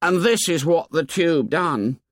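Man as a storybook schoolboy, high-pitched, anxious, chatty, ungrammatical, dry close recording. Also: animated, unhurried, no echo. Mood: anxious, insistent. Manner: ungrammatical, colloquial working-class schoolboy speech. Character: plump, anxious, talkative young schoolboy with asthma. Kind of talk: audiobook character dialogue.

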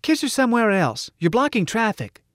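A man's voice: high voice, very animated, moderate pace, dry recording, clean studio sound, voice pushed up. A man voicing a scornful, bossy little girl in an audiobook. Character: scornful little girl, no higher than a waist.

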